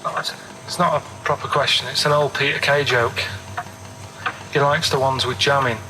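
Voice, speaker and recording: A man's voice: mid-range voice, steady tone, normal speed, background noise. A man chatting casually as a radio co-host, downbeat, grumpy and matter-of-fact.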